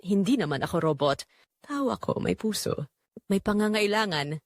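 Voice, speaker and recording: A brazen Filipino wife in a drama story, played by a woman narrator, defensive and dismissive about her affair. She justifies herself with lively pitch movement, recorded dry. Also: normal speed, no echo, natural voice. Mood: hurt, defensive. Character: brazen, defensive adulterous overseas-worker wife. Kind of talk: acted dialogue in story narration.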